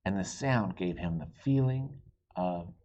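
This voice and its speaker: A man reading a children's picture book aloud in an expressive storytelling style, with dramatic pauses. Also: low-pitched, lo-fi sound. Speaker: storybook reader.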